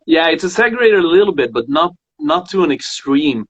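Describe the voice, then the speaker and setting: A man hosting a casual conversation about singing, a voice teacher who talks haltingly with many fillers and restarts. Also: fairly high pitch, big pitch swings. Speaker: host and voice teacher. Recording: muffled lo-fi sound.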